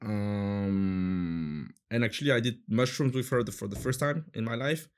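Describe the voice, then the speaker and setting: A man's voice: lowish voice, a little slow, clean tone. A man in his thirties from France with a French accent, chatting casually as an interview guest, searching for words with many fillers and restarts.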